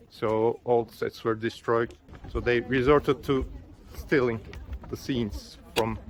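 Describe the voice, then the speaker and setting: A man with a Turkish accent, a native Turkish speaker, chatting casually as a travel vlogger outdoors, recorded on a built-in camera mic. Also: medium pitch. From Turkey. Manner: chatty, with fillers, loose phrasing. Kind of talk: casual travel vlog narration. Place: outdoors at an open-air museum.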